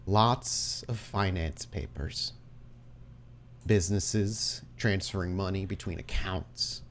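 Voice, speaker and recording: A man narrating and refereeing a tabletop roleplaying game as game master, asking measured questions of his players. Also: low-pitched, steady tone, a bit bright, a little hiss or hum.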